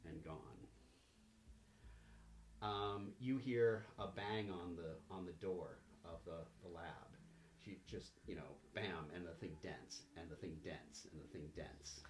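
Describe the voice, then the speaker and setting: A man improvising narration as game master of a tabletop game, in a halting, drawn-out way with pauses. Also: deep voice. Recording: faint background noise.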